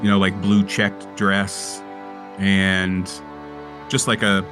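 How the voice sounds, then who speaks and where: Male tabletop player, unhurried and halting, lowish voice, casual description over faint music. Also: completely flat delivery.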